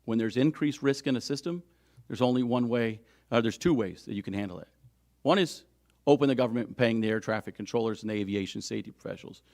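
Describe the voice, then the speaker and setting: A man with a creaky, gravelly voice at an average male pitch gives emphatic remarks as a labor leader. His delivery is halting, in the manner of someone answering the press. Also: a little mellow. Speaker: union leader at a press conference.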